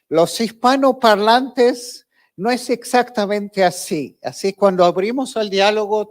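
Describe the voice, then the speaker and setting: High-voiced older Argentine man lecturing. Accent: Argentine Spanish. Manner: relaxed, conversational, with hesitant fillers. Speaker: professor giving a closing lecture. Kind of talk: academic lecture.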